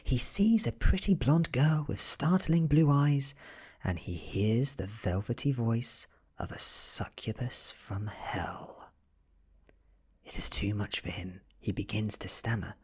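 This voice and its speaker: A British man reading a story as soft-spoken ASMR narration, hushed and often dropping into a whisper. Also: medium pitch, animated, slow pace, husky. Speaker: audiobook reader.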